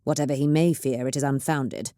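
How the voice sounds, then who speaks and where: A woman's voice: a bass voice, steady tone, a little fast, mellow, dry recording, studio quality. Woman reading as a hot-tempered nobleman. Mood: heated. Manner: heated.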